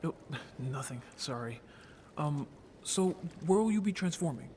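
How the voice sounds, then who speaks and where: A male actor as a gentle young merfolk man, his voice a little high for a man. He is shy and earnest, speaking softly and hesitantly with an anxious edge, in an audio drama recorded with faint noise behind. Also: animated, measured pace. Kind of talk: audio roleplay drama acting.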